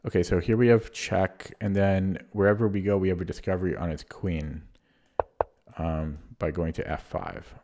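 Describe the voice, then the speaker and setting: Man, deep low voice, hoarse, throat-clearing, casual live chess streamer, thinking aloud. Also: little pitch movement, moderate pace, darkish tone. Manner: thinks aloud while playing, muttering over moves.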